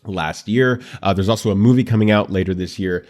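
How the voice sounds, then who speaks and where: A man's voice: fairly low pitch, fairly quick, a little mellow, faint music behind. Congested, nasal man hosting an anime show. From United States. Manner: chatty, talks straight to viewers. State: dry throat. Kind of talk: casual anime commentary.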